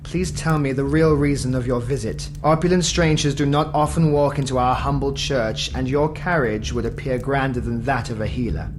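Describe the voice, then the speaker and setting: A man's voice: medium pitch, moderate pace, few pauses, close to an everyday voice. Wary, formal priest, played by a man. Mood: guarded. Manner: formal, guarded, pious. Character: wary, devout, courteous male priest in 16th-century Scotland. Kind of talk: audio drama acting. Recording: music behind the voice.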